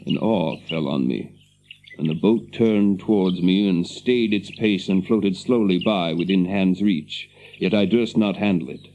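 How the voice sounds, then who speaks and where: A deep-voiced, grave noble captain. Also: male voice, mellow, slight background noise. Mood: sorrowful, solemn. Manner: stately, archaic, measured speech. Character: Faramir, grave noble captain of Gondor, grieving brother. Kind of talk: radio fantasy drama acting. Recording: dull lo-fi audio with birdsong behind.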